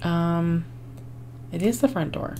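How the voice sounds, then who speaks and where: A woman hosting a life-sim game video, her voice hoarse and fading, chatting casually with frequent ums and small laughs. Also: fairly low pitch, slow, warm tone, a little hiss or hum. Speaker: gaming channel host. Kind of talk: casual gameplay commentary.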